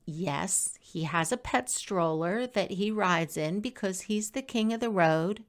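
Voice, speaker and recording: A woman reading her own blog post aloud as scripted personal narration, in a conversational, expressive storytelling manner. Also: medium pitch.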